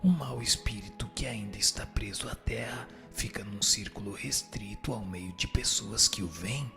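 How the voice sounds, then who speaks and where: A man voicing a solemn spirit who teaches in a measured, declamatory, didactic way in a radio drama. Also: on the low side, creaky, over music. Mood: solemn, exhorting. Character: solemn male spirit answering questions and dictating teachings. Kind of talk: dramatized reading of a spiritist text.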